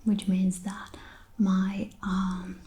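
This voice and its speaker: Soft-spoken Czech woman, whispery. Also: mid-range voice, monotone, extremely slow. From Czech Republic. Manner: hesitant, many ums and likes. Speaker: ASMR host. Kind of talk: soft-spoken ASMR language lesson.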